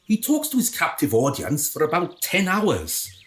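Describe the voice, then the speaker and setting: A man's voice: on the high side, huge pitch swings, moderate pace, slight background noise. A man acting in a radio drama as a smug, scheming leader with a grandiose, theatrical manner. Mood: gleeful relish.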